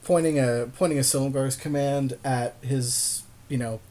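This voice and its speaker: A man with a mid-pitched voice chats his way through a card game as a podcast co-host. He is laid-back and agreeable, using loose slang as he talks over plays with his partner. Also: steady tone, normal speed, warm tone. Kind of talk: casual gameplay commentary with a partner.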